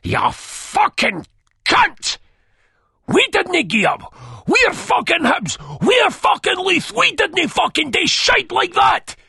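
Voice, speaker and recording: A man's voice: very high-pitched, sing-song, slow pace, vocal fry, bright, forceful tone, no room sound, clean studio sound, voice pushed up, full character voice. Volatile, spitting Scottish hard man. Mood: furious, shouting. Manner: aggressive, swearing, explosive. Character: violent, foul-mouthed Scottish hard man, volatile and menacing. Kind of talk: dramatised audiobook acting.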